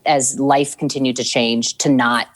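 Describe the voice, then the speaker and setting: A woman's voice: a bass voice, a little slow. A woman in her forties, a TV news anchor, talking casually in an interview and acting out remembered exchanges as she tells her story. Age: 40s. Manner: lively storytelling with acted-out quotes, frequent laughs. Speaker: TV news anchor, podcast guest.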